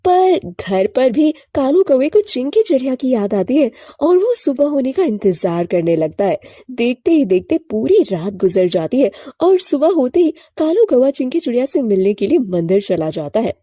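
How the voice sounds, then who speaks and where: A woman storyteller narrates a scripted tale. Her voice sits fairly high with a soft, mellow tone, and the recording is close and very dry. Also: dry recording.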